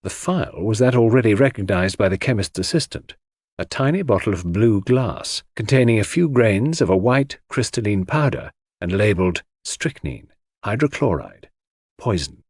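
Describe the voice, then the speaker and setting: A man narrates a detective novel in a measured, dramatic storytelling manner. His voice is husky and moves freely up and down in pitch, recorded very dry and close to silent surroundings. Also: very animated, darkish tone, dry recording. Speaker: audiobook narrator.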